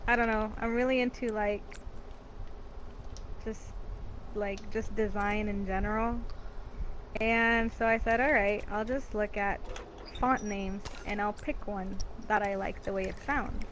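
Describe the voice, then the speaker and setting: A woman's voice: mid-range voice, fairly even intonation, unhurried, long pauses, slight background noise, rough recording. Chatty young woman from Mexico. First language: Spanish. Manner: chatty, filler-heavy with "like". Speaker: guest. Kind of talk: casual interview chat.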